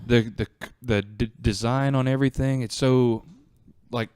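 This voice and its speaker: A man with a clear, smooth voice and a mid pitch chats as a podcast guest. The sound is close and dry. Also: fairly even intonation, unhurried, a little mellow, dry recording. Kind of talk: casual podcast chat.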